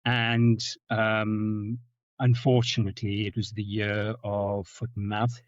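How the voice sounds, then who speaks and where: A middle-aged man with a Zimbabwean-South African accent, telling stories as a podcast guest, often repeating words and jumping up in pitch for anecdotes. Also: little pitch movement, slow pace, no echo. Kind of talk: storytelling in conversation. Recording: dull, dry lo-fi sound.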